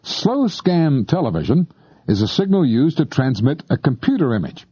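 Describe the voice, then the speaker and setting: Guy narrating a how-to tape, chatty and scripted, very animated pitch, slightly muffled dry sound. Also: normal speed, breathy edge, no room sound. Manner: conversational, addressing the listener directly. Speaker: narrator of an instructional tape on shortwave listening.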